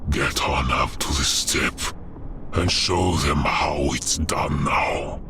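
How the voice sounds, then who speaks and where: A man voicing a malicious older army general who gives slow, mocking commands with scornful relish in a dark war audiobook. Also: a bass voice, over music, heavily acted. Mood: commanding relish.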